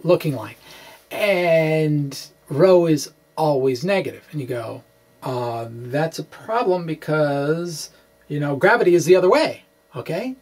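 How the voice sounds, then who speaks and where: A middle-aged man giving an informal explanation to camera as a physics blogger, halting and often repeating words. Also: fairly high pitch, darkish tone. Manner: halting, hesitant, full of repeated words and tags like "okay?"; chuckles as he talks. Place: small indoor room with bookshelves.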